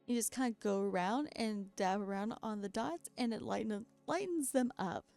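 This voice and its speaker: A woman talks through her crafting in a relaxed, chatty voice-over with frequent self-deprecating laughs. She is worn out from a sleepless night, so her voice is creaky and keeps cracking. Faint background music plays beneath her. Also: medium pitch, normal speed. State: very tired after staying up all night. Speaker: doll customizing creator. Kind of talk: casual craft voice-over narration.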